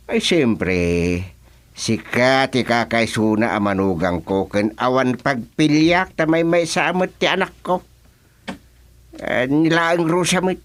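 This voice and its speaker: A man playing a middle-aged father-in-law in a palace tale for radio drama. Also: a little high. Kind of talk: radio drama comedy acting.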